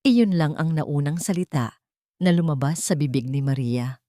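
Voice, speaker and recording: Low, mellow Filipino woman storyteller. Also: moderate pace, no echo, studio quality. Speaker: narrator. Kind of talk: scripted dramatic story narration.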